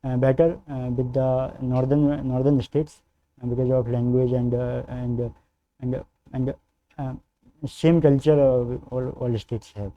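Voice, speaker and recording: A young man from northern India, a native Hindi speaker with a North Indian accent, answering formal interview questions as a candidate in a halting, deferential way. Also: medium pitch, little pitch movement, dull audio. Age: young adult. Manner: hesitant, halting, deferential, often says sir. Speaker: civil service candidate in mock interview.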